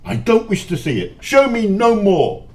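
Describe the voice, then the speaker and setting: A man's voice: high-pitched, very animated, measured pace, darkish tone, full character voice. A man acting as a miserly, fearful man in an amateur audio play, theatrical and imploring. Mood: anguished pleading.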